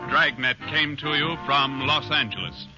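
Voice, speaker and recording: A man's voice: mid-range voice, a little slow. Casting: formal male network announcer, muffled vintage radio with music behind. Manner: formal, even delivery. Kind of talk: scripted broadcast announcement. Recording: dull old radio broadcast with music.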